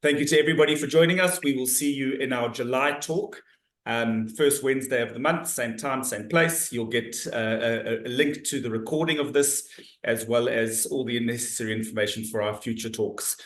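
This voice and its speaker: A man's voice: medium pitch, normal speed, fairly bright tone. A middle-aged South African man hosting an online talk, reading out audience questions in a friendly, loose way with frequent restarts. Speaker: host and moderator of a talk series. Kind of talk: moderating online Q&A. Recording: roomy echo on a video call.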